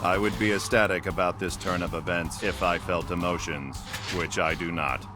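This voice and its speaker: An actor playing an emotionless robot villain, stiff, formal and deadpan, in an animated video game. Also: male voice, low voice, moderate pace, music in the background, natural voice.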